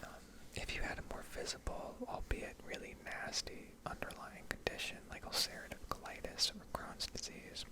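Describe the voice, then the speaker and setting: A woman's voice: moderate pace, few pauses, poor audio. Whispering woman in an ASMR ramble. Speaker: ASMR video creator rambling over gameplay.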